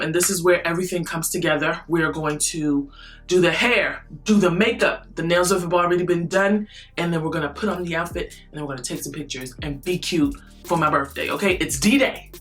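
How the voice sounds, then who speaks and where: A woman beauty vlogger who just woke up, chatting to camera with a low morning voice in a rambling, playful way. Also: fairly quick, fairly bright tone, faint music behind. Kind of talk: casual chat to camera.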